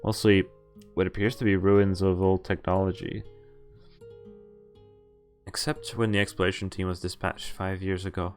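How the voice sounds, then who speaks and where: Low-voiced man reading game text aloud. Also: level tone, darkish tone, soft background music. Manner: halting, stumbles over names and self-corrects. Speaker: streamer playing a visual novel.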